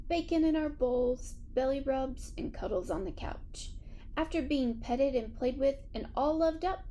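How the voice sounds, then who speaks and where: A woman reading a children's story aloud in an expressive first-person narration. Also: a little high, slight background noise. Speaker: audiobook reader.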